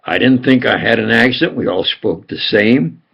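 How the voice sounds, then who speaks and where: An older man from upstate New York with a New York accent, telling his life story in a slow, halting monologue with sudden emphatic stresses. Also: medium pitch, lively intonation, vocal fry, husky, lo-fi sound. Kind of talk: rambling personal monologue.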